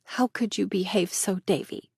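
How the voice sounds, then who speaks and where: A woman voicing a gentle young schoolteacher who speaks softly and reproachfully. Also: medium pitch, moderate pace, vocal fry, breathy edge, dry recording, studio quality. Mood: sorrowful. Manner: soft, reproachful.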